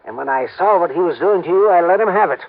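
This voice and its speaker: A man playing an eager, self-pleased older gentleman sidekick in 1950s radio drama, chatty and fussy. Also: high-pitched, expressive, fast. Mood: proud, excited. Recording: noisy, tinny old radio recording.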